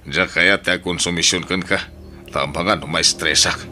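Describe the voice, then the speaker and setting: A man's voice: deep voice, fairly even intonation, moderate pace, sharp tone, slight background noise. A man playing a callous, scornful lover in an Ilocano radio drama, blunt and dismissive, with an Ilocano accent. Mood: cold, impatient. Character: callous, scornful lover tired of his sick partner.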